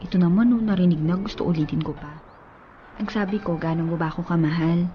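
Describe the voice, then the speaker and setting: A woman's voice: fairly low pitch, quick pace, muffled recording, a little hiss or hum, lightly acted. A woman playing a playful young girlfriend in a radio drama, teasing and coyly asking for reassurance. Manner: teasing, coy questioning.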